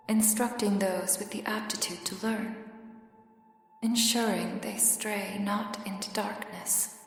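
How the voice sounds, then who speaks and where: A solemn arcane mentor, performed by a woman speaks in hushed, formal tones as in a fantasy audio roleplay. The delivery is slow and grave, drenched in long, heavy reverb, with music underneath. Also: mid-range voice. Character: solemn, otherworldly arcane mentor addressing a mortal. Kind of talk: ASMR fantasy roleplay, storytelling monologue.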